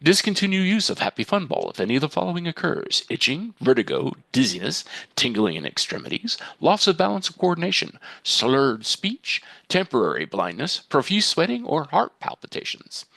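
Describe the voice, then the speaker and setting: A man's voice: on the high side, huge pitch swings, normal speed, gravelly, pushed and bright, no room sound, heavily acted. A man playing an emphatic, mock-serious television commercial announcer for a toy, in a parody read. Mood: solemn warning. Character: booming enthusiastic toy commercial announcer reading grave disclaimers.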